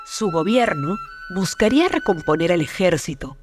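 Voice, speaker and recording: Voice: mid-range voice, very animated, normal speed, soft background music. A narrator delivering scripted historical documentary narration.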